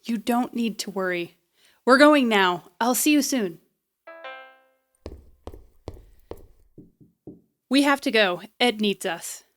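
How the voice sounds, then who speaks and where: Stubborn, high-voiced wry heroine. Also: female voice, lively intonation, measured pace. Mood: concerned, reassuring. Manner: casual, wry, defiant. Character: stubborn, flippant, loyal young woman repairperson. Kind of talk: audio drama acting.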